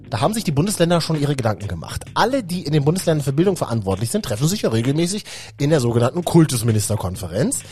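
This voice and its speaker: Man, host, casual colloquial presenting, animated pitch, faint music bed. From Berlin. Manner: lively, colloquial, addresses viewers directly. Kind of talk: casual youth-show presenting.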